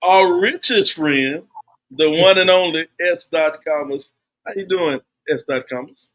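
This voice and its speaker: A man hosting a casual podcast, teasing his friends and spinning drawn-out jokes. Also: fairly high pitch, fairly bright tone, poor audio. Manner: playful, teasing, drawn-out storytelling. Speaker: podcast host. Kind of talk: casual joking banter.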